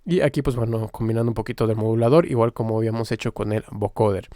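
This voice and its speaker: A man giving an informal step-by-step software tutorial as the instructor, in a halting, explanatory manner. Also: lowish voice, fairly even intonation, no echo, clean studio sound.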